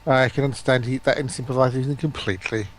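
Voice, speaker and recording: A man's voice: mid-range voice, fairly even intonation, fast. A man chatting casually as a stream guest, with a dry sense of humour. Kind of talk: casual chat.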